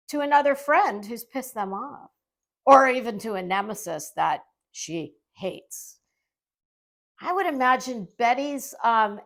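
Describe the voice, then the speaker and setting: A woman talks slowly through an unscripted commentary. Her delivery is sarcastic and condescending, with emphatic words and dramatic pauses as she addresses her online viewers. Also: sits high. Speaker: YouTube commentator. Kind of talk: casual off-the-cuff commentary monologue.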